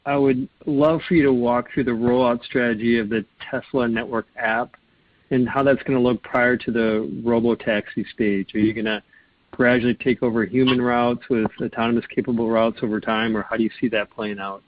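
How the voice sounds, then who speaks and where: A man's voice: mid-range voice, fairly even intonation, normal speed, no echo. A man speaking as an analyst, putting questions to executives on an earnings call. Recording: slightly dull conference phone line.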